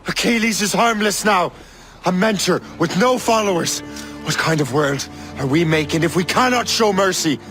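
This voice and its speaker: An actor playing a guilt-laden, resolute adult assassin turned Templar in dramatic video game cutscenes. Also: male voice, high-pitched, moderate pace, over music, natural voice. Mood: firm pleading.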